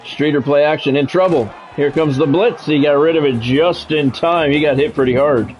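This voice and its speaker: A man's voice: a little high, moderate pace, soft background music, low-quality recording. An American man doing football play-by-play on a gaming stream, mixed with casual chat. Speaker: gaming streamer calling play-by-play of own game; former radio sports play-by-play announcer.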